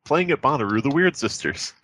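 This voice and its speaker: Husky, animated man bantering on a podcast. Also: medium pitch, fairly quick. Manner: sardonic, riffing, swears. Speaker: podcast host. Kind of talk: casual comedic chat, reading aloud with banter.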